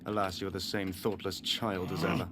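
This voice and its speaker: A man's voice: low-pitched, level tone, moderate pace, noisy background, lightly acted. A man playing a haughty, scornful young prince in a video game, formal and condescending. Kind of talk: dramatic video game acting.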